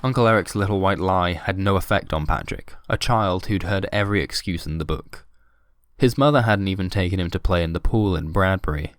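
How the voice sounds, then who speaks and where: A man's voice: sits low, no echo, clean studio sound. A man reading a scripted, surreal horror story as its narrator.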